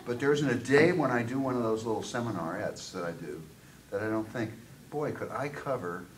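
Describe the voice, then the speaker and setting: Male audience member, low voice picked up from afar in a noisy room, discursive and full of asides. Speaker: audience member, college tutor. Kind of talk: commenting from the floor at a seminar. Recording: distant mic, noisy room.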